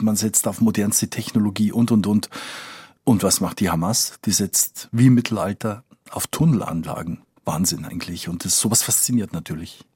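A man's voice: on the low side, a little mellow, husky, dry recording, clean studio sound. A man working as a foreign correspondent talks conversationally in a podcast, informal and emphatic, thinking aloud and repeating himself.

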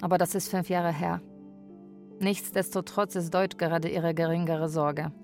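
An actress plays a young Polish woman caregiver in a radio play. She narrates an anxious journey in a slightly low voice, with music underneath. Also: level tone, normal speed, a little mellow, lightly acted. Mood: narrating. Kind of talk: bilingual radio drama narration.

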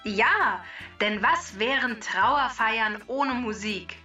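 A woman playing a female machine helper in a children's podcast, reading scripted educational narration in a measured, clearly phrased way. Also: fairly high pitch, expressive, bright, forceful tone, far from the mic, soft background music, full character voice. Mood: informative, upbeat.

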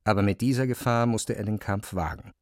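A man's voice: on the low side, fairly quick, no room sound, studio quality. A man reading scripted literary narration as the narrator of a radio play.

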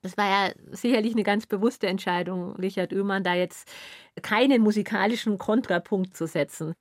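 A woman's voice: medium pitch, moderate pace, no echo, studio quality. A woman interviewing guests on radio with prepared but conversational questions.

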